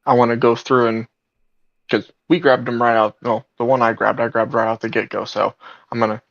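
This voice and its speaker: A man with a creaky, gravelly voice talks casually through his turn in a tabletop game. His intonation is flat, and the recording sounds dull and muffled. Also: medium pitch, fairly quick.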